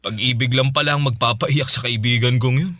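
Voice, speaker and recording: Actor as an easygoing Filipino boyfriend, dry studio sound. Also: male voice, mid-range voice, steady tone, brisk, sharp tone, no echo, close to an everyday voice. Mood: relaxed. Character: easygoing, teasing, caring live-in boyfriend in his thirties. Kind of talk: Filipino radio drama acting.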